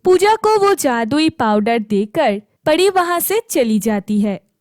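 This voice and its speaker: A woman's voice: high voice, very animated, slow pace, darkish tone, dry recording, studio quality. A woman narrating a scripted children's story.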